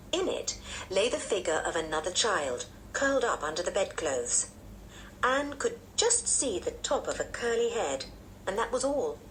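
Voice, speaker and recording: A woman narrating a children's book in an expressive storytelling manner. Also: lowish voice, slight background noise, poor audio. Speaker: audiobook narrator. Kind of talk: children's story read-aloud.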